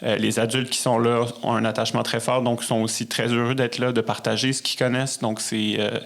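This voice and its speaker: Creaky-voiced Quebec French man in his thirties. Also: mid-range voice, little pitch movement, brisk. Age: 30s. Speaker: guest, classical musician and conductor. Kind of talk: casual interview chat.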